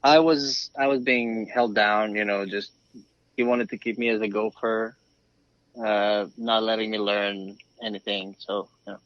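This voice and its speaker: A man's voice: lowish voice, fairly even intonation, unhurried, a bit bright, no room sound. Man on a dull, dry line. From Romania. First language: Romanian. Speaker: podcast guest, flooring craftsman. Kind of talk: conversational interview storytelling. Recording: slightly dull, dry remote call.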